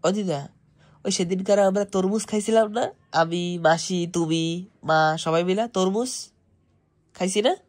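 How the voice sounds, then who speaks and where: A man's voice: high-pitched, a little slow. A young man with an East Bengali accent, chatting casually with family as a home vlogger.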